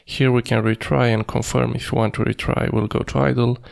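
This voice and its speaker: Very gravelly fry, man, medium pitch, tutorial narration, halting, thinking aloud at the computer. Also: level tone. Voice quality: creaky, gravelly. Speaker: coding tutorial presenter. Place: indoors at a computer, screen recording.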